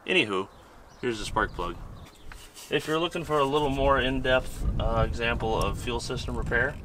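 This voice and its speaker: A laid-back man with a lowish voice talks through a repair job, rambling a little and swearing casually. He sounds relaxed and hands-on, explaining as he works outdoors by a vehicle, with faint noise in the background. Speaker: host and mechanic.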